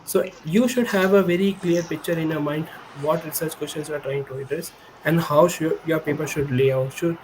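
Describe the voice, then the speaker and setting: A man's voice: a little high, fairly even intonation, fairly quick, background noise. Young man on a video call, native Hindi speaker. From India. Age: 20s. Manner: earnest, explanatory, frequent self-corrections. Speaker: guest, PhD student. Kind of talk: remote podcast interview. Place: indoor room with a high-backed chair.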